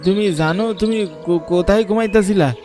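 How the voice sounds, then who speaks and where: A man's voice: high voice, normal speed, darkish tone, voice pushed up, natural voice. A man voicing an anxious, exasperated cartoon village husband who talks insistently in colloquial Bangladeshi Bengali. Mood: alarmed. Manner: colloquial rural dialect, pleading and insistent. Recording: music behind the voice.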